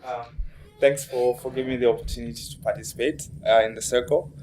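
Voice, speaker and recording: Flat-voiced young man from Zambia. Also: medium pitch, fast, soft background music. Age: young adult. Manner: hesitant, modest, many fillers. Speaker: panelist. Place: conference room.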